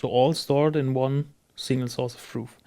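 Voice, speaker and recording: Halting, agreeable man on a podcast. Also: medium pitch, steady tone, dark tone. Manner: agreeable, halting, searching for words. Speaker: podcast host. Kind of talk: conversational business podcast discussion.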